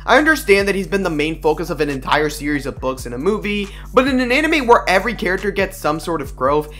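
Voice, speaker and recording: Fast, high-pitched young man narrating. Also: a bit bright. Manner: opinionated, informal, slangy. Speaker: video essayist. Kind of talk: scripted video essay narration.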